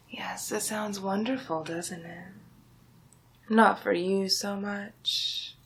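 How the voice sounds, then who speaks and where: A woman playing a scheming, power-hungry royal vizier in an ASMR villain roleplay, speaking softly and deliberately with theatrical menace and often dropping into a whisper. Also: mid-range voice, a little hiss or hum. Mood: gloating menace. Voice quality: soft, breathy, half-whispered.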